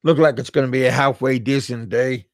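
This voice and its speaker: A man from Alaska hosting a casual livestream, chatting with viewers in a halting way and often repeating and restarting his words. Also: mid-range voice, steady tone, dry recording, studio quality. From Alaska, USA. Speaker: livestream host. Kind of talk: casual live-chat talk reading viewer comments.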